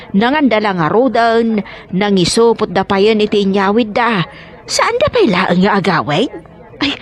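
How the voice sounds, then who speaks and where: Woman acting grumbling, high-voiced Ilocano mother-in-law. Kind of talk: Ilocano comedy radio drama acting.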